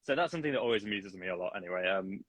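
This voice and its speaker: Low-voiced chatty man, dry sound. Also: lively intonation, racing, a bit bright, no room sound. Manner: chatty, laughs often, self-deprecating. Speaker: singing teacher, podcast guest. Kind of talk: casual interview chat.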